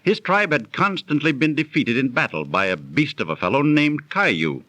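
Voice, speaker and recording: An actor in a 1930s radio drama playing a well-travelled, genteel storyteller recounting an old legend theatrically. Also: male voice, medium pitch, sing-song, normal speed, bright, forceful tone, dry recording. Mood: musing, authoritative.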